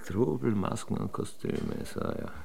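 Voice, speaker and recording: Radio play investigator, deep voice, creaky, dry, pedantic, deliberate. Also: male voice, a little mellow. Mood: probing, dry. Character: methodical male investigator, dryly pedantic, fond of food and wine. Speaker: actor in radio drama. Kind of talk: German radio play dialogue.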